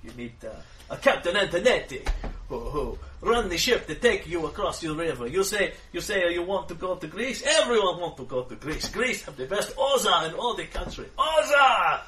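A man's voice: high voice, very animated, normal speed, bright, forceful tone, distant mic, pitched above the performer's own voice, exaggerated voice. A man voicing a boisterous ship captain with a put-on Italian accent, talking in broken English and repeating his phrases.

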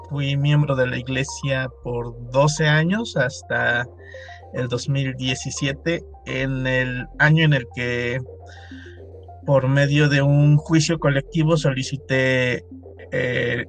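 A man in his thirties from Mexico with a Mexican Spanish accent, a guest on a podcast, speaking in a measured way. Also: mid-range voice, faint music behind. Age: 30s. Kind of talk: casual podcast conversation.

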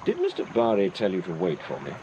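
An actor playing a calm, questioning detective in a period radio drama. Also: male voice, low-pitched, quick pace, lo-fi sound, noisy background. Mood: probing. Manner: crisp, questioning, composed. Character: calm, probing amateur detective, adult man. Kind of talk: 1940s radio acting.

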